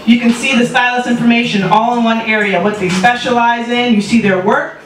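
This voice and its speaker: A woman's voice: fairly high pitch, fairly even intonation, brisk. A woman giving a casual live product demo at a party, talking the listener through each step. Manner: casual, walks through steps. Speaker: product presenter. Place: party venue. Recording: echoey room from far off with loud music.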